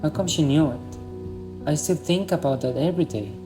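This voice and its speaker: A man's voice: on the high side, moderate pace, natural voice. Man acting a lovesick young man, torn and earnest, amateur radio drama, music underneath. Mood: melancholy.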